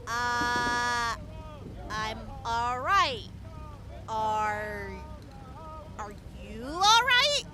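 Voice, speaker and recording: A woman's voice: fairly high pitch, sing-song, drawn-out words, pauses often, background noise, exaggerated voice. A woman playing a friendly, tough young raider medic in an audio drama, casual, upbeat and caring. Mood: concerned. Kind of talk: post-apocalyptic audio drama acting.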